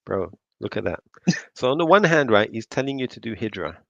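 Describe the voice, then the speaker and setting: A mid-pitched man with a gravelly, fry-heavy voice, making sarcastic asides as he reacts to a recorded call. The sound is dry and a little dull, like a lo-fi recording. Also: sing-song, a little fast, dry recording. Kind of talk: reaction commentary.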